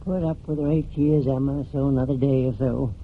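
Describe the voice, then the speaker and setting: An actor playing a grudging, tightfisted eldest brother with a rural American accent, grumbling and folksy in a 1940s radio play. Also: male voice, mid-range voice, steady tone, fairly quick, slight background noise, close to an everyday voice. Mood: grumbling, evasive. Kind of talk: 1940s radio drama acting. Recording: tinny 1949 radio recording, music behind.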